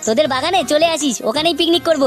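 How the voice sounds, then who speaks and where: A man's voice: extremely high pitch, racing, soft background music. A man voicing a crude, teasing young village lad with a West Bengal accent in a comic cartoon. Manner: foul-mouthed, mocking banter.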